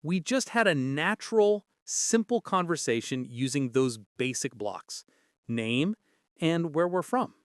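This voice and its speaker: Animated man co-hosting lessons. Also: a little high, measured pace, no echo, clean studio sound. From Canada. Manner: inquisitive, explanatory. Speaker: podcast co-host. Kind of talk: scripted conversational English lesson.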